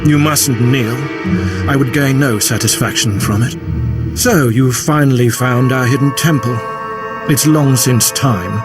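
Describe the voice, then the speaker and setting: An actor playing a pompous, menacing Sith lord in a video game, grand and imperious in manner. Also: male voice, mid-range voice, measured pace, natural voice. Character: pompous self-proclaimed Sith lord ruling a jungle temple. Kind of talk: theatrical video game voice acting. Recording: loud music behind the voice.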